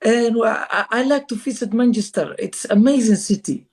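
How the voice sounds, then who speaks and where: A man on a video call, speaking halting, broken English in an eager, flattering way. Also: extremely high pitch, husky. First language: Somali. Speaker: live-stream guest. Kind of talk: casual video-call chat.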